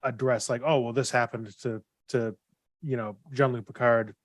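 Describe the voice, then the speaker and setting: A man's voice: mid-range voice, steady tone, moderate pace, no room sound. Man explaining casually, dry sound. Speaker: podcast panelist. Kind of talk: casual panel discussion.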